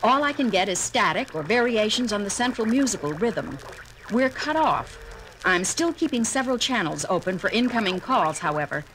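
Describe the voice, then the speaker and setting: An actress playing a composed female communications officer who reports in a crisp, dutiful way in a 1970s audio drama. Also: medium pitch, expressive, moderate pace, fairly bright tone, slight background noise, close to an everyday voice, rough recording.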